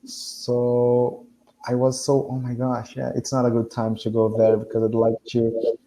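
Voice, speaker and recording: A man from Brazil whose first language is Portuguese, talking with his tutor over a video call. He is earnest and agreeable, with thoughtful pauses. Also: medium pitch, monotone, warm tone, slight background noise, low-quality recording. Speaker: language student. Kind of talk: casual conversation lesson.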